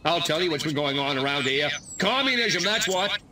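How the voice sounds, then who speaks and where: A man's voice: a little high, animated, moderate pace, recorded from a distance, background noise, natural voice. A man voicing a pompous, blustering small-town American mayor in a video game. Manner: pompous, folksy, stalling.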